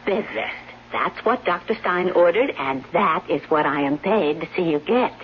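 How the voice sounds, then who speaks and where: A woman's voice: on the low side, expressive, moderate pace, slight background noise, lightly acted. An actress playing a devoted older nurse, motherly, firm and coaxing, in 1970s radio drama style. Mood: firm, protective. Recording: dull, humming 1970s radio recording.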